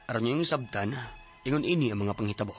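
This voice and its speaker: A man's voice: medium pitch, quick pace, over loud music. A man in his forties with a Cebuano accent, telling his own story in a radio drama, plaintive and sighing. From Philippines. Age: 40s. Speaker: narrator and letter writer.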